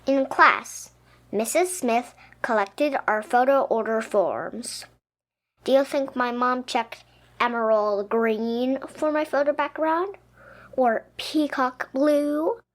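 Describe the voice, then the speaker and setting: A woman reading a children's picture book aloud expressively, with dramatic, emphatic pauses. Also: sits high. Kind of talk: expressive children's storybook narration. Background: music.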